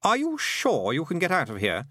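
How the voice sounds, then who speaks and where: Guy doing a lost 12 yr old boy in an audiobook, snooty but worried, high voice, very up and down. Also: normal speed, dry recording, studio quality, exaggerated voice. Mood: haughty, doubtful. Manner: excitable, emphatic. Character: twelve-year-old lost boy, haughty yet anxious.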